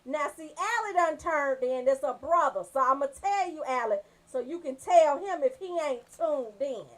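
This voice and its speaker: Female radio host. High and highly animated, brash, sweary comic storytelling. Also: moderate pace.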